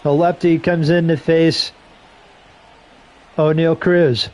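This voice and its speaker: A slightly hoarse man gives casual play-by-play into a headset mic, breaking off into relaxed, rambling asides between calls. His voice sits fairly high. A faint hiss runs under the recording. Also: slow pace. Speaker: streamer doing play-by-play.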